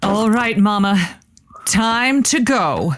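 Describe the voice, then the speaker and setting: An actress playing a glamorous, boastful radio love-advice host with a grand, affected delivery in an audio comedy. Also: female voice, mid-range voice, animated, slow pace. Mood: weary, muttering. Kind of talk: scripted audio comedy acting.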